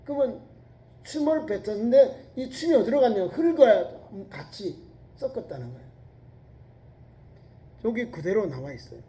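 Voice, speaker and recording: A man's voice: high-pitched, animated, moderate pace, long pauses. Middle-aged man preaching with regional accent. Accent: Korean regional dialect. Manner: emphatic preaching with rhetorical questions, rising to shouts on quoted scripture. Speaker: pastor. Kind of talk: sermon. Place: church sanctuary. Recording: echoey church sanctuary.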